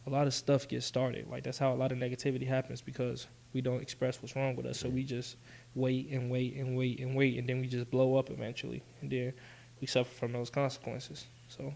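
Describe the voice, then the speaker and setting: A man's voice: medium pitch, completely flat delivery, fairly quick, gravelly, a little mellow, poor audio. A man who works as an electrician, speaking earnestly on a discussion panel, often repeating himself with "you know".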